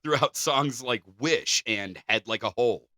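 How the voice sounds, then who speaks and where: A man's voice: mid-range voice, normal speed, sharp tone, no room sound, studio quality. A man co-hosting a podcast, reading articles aloud with casual banter. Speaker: podcast co-host.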